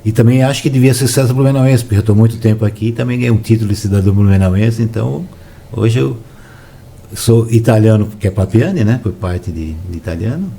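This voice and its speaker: A man, a relaxed, soft-spoken storyteller reminiscing in a casual interview. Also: fairly low pitch, a little fast, vocal fry. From São Paulo, Brazil. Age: 60s. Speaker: interview guest, former footballer.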